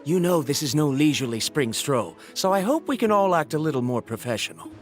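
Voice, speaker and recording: Skeptical scholar for a game, performed by an actor: formal, clipped, impatient, mid-pitched with varied intonation. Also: big pitch swings, a little fast, soft background music, close to an everyday voice. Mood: stern, impatient. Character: stiff, impatient, businesslike scholar. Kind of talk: video game voice acting.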